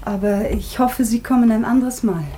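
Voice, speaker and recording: An actress playing a frail, courteous gentlewoman in a German radio drama, gentle and formal. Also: fairly high pitch, fairly even intonation, normal speed, slight background noise, close to an everyday voice. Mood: polite regret.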